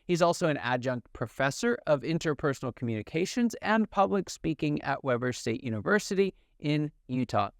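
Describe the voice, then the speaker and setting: An enthusiastic male podcast host opens the show and introduces his guest. His pitch swings widely and sits a little high, and the recording is dry and close. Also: sing-song, fairly quick, few pauses, no room sound. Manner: enthusiastic, upbeat.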